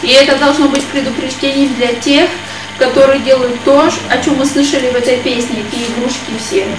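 A woman interprets a sermon phrase by phrase and reads scripture aloud steadily and carefully. Her voice is high. She is recorded from far away in an echoey hall, with clear background noise. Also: fairly even intonation, brisk. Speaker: interpreter, preacher's wife. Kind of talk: consecutive interpreting and scripture reading. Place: church hall.

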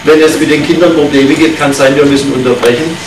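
A native German-speaking man preaches in short phrases and pauses so his interpreter can follow. His voice sits a little high. He is recorded from a distance in an echoey hall with clear background noise. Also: steady tone. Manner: short phrases, pausing for translation. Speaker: visiting preacher. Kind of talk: sermon given through an interpreter. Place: church hall. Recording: echoey hall from far off, noisy.

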